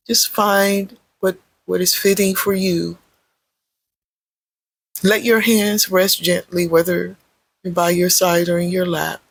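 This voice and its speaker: Slow, husky meditation guide with creaky voice. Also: mid-range voice, no echo. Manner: gentle, measured, pausing between short phrases. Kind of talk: guided meditation, unscripted spoken guidance.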